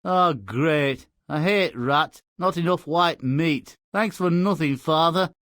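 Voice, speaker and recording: An actor voicing a sardonic, put-upon young peasant son in a fairy-tale video game. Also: male voice, fairly high pitch, slow pace, fairly bright tone, no room sound, clean studio sound. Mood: sarcastic, grumbling.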